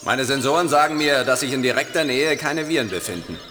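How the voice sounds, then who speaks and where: A man's voice: medium pitch, fairly quick, lightly acted. A man playing an eager, technically minded antivirus program in a children's audio drama, reporting keenly like a crew member. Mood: alert. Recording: long reverb, loud music underneath.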